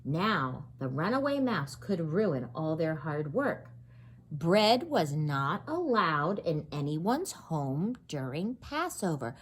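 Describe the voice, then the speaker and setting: A woman with a husky voice reading a children's story aloud expressively, pausing to explain and ask questions. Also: sits low. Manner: expressive, explains and asks questions to young listeners. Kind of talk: animated read-aloud of a children's story with asides.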